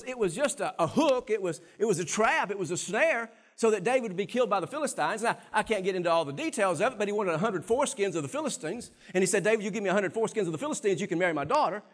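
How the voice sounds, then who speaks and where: A middle-aged man preaching a sermon from a church stage on a lapel mic, animated and swinging between quiet and loud passages. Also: high voice, very fast, few pauses. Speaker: pastor.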